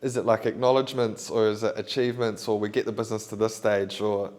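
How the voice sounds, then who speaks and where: Rambling New host. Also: male voice, on the low side, flat delivery, fast talker, darkish tone. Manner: rambling, thinks aloud, says "bro" and "you know". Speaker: podcast host. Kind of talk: casual interview chat.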